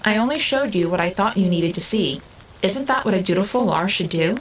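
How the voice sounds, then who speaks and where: A woman acts out an otherworldly young female being, curious and restless. She speaks in stiff, precise, formal phrases at a middle pitch. A steady rain-like noise sits faintly behind her in this audio drama. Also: brisk, natural voice, poor audio. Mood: solemn, uncertain. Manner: formal, stilted, precise diction.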